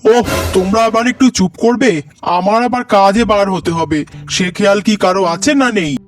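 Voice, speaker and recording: A man's voice: sits high, moderate pace, close to an everyday voice. A man voicing a stern young husband in a cartoon, speaking in a firm, admonishing way. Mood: annoyed, admonishing. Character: stern young husband siding with his mother. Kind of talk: cartoon voice acting.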